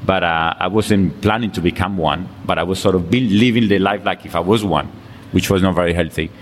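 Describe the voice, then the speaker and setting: A man's voice: low voice, a little fast. A Colombian man speaking English with a Colombian Spanish accent, chatting easily as an interview guest and laughing often. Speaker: guest, fitness coach. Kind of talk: casual interview chat. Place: gym. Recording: long reverb, faint background noise.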